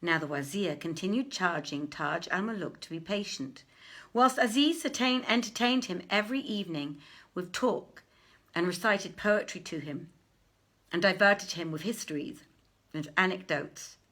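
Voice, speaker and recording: A woman reading an old literary tale aloud as narrator, in a measured, expressive, declaiming manner. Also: fairly low pitch. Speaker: narrator, reader. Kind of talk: dramatic literary storytelling in archaic English.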